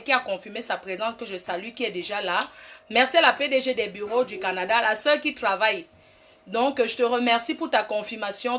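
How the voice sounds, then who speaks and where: A woman's voice: fairly high pitch, fairly bright tone. A woman playing a brash, boastful self-styled queen mother in a rambling comic monologue. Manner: bossy, rambling, mock-grand, trash-talking. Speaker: comic online personality. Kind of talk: comic live-stream monologue.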